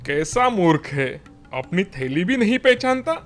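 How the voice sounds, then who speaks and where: A woman's voice: on the low side, very animated, measured pace, soft background music, pitched below the performer's own voice. A woman voicing a simple, self-satisfied male shepherd muttering to himself in a moral tale. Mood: smug.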